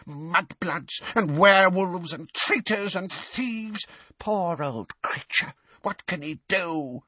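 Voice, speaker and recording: A man voicing a bitter old male house elf who mutters hoarsely and resentfully under his breath. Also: lively intonation, slow, bright, forceful tone, no room sound, far from the mic, full character voice. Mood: bitter muttering. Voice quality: hoarse, deep, croaking.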